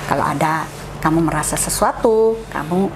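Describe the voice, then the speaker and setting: An older woman, a cancer survivor guest, telling her story in a casual, halting way, acting out what others said to her. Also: low voice, over a noisy crowd. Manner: chatty, halting, lively quoted asides.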